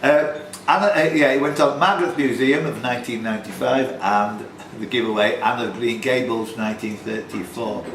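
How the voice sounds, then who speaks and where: A man's voice: mid-range voice, moderate pace, few pauses, fairly bright tone. A man hosting a pub quiz, reading out questions and scores informally, with chatty asides. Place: indoor pub. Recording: roomy pub recording with background noise.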